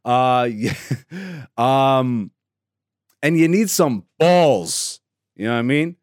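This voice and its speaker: A male comedian hosting a podcast alone, talking in a laid-back, profane way and laughing, with sudden loud excited bursts. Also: medium pitch, slow, smooth, no room sound, studio quality. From Greece. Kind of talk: casual solo podcast chat.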